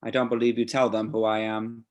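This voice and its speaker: A man acts out a fanatical killer in a screenplay read, composing a letter to his idol. His voice sits on the low side, and he speaks in measured, formal phrases. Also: level tone, lightly acted. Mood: confiding, self-important. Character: obsessed male serial killer writing to his idol.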